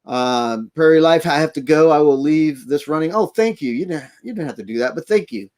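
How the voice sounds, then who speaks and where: A man's voice: fairly high pitch, expressive, fairly quick, a little mellow. A man from Florida hosting a casual, rambling live stream from inside his RV camper, chatting with viewers and thanking them. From Florida, United States. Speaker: livestream host. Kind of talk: casual rambling livestream chat.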